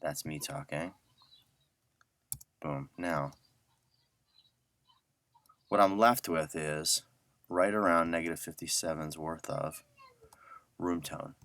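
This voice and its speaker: Very deep-voiced man teaching casually. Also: takes it slowly, long pauses, a bit bright, dry recording. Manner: informal, thinking aloud. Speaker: audio software instructor. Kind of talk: casual screen-share tutorial.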